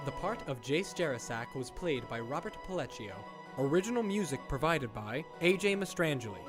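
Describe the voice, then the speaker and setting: A man's voice: a little high, lively intonation, moderate pace. A man narrating from a script as the announcer of an audio drama, reading out instructions and credits. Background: music.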